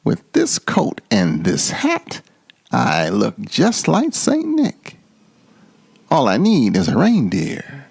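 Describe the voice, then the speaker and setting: Storybook villain: sour old scheming male creature, acted by a man, snarling, gravelly, animated. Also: medium pitch, slow, dry recording, full character voice. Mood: gleeful scheming. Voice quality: growling. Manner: snarling, sneering. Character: sour, scheming old male Grinch who hates Christmas.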